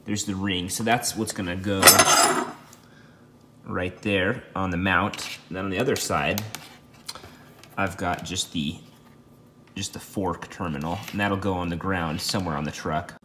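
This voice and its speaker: Low-voiced man, noisy casual how-to. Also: steady tone, measured pace, pauses often. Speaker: host of a DIY install video.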